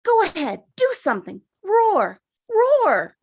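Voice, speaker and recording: A woman's voice: very high-pitched, huge pitch swings, slow, a little mellow, no echo, studio quality, exaggerated voice. A woman acting a frightened young girl, pleading and crying out urgently in a theatrical screenplay read. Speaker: table read actor.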